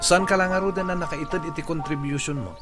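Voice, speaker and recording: A man's voice: a little high, fast talker, close to an everyday voice. A man playing an exasperated young male classmate in an Ilocano radio drama. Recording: radio drama under clear music.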